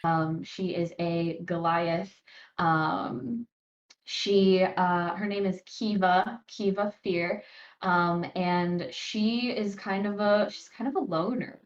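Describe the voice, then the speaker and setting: A woman playing a tabletop game, speaking casually and hesitantly with frequent pauses. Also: fairly low pitch, steady tone, lo-fi sound. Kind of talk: casual character introduction.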